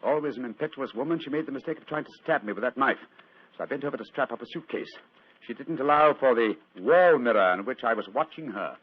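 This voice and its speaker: Male actor as British gentleman sleuth, theatrical, precise, very lively intonation, muffled vintage radio recording. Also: mid-range voice, fast, natural voice. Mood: triumphant explaining. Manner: urbane, precise, theatrical, self-assured. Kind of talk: 1940s radio acting. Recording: dull, noisy old radio recording.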